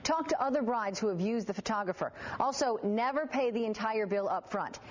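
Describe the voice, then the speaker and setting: An American woman reads a consumer news report in a scripted style. Her pitch is on the high side, and the sound is a little dull. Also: expressive, fairly quick.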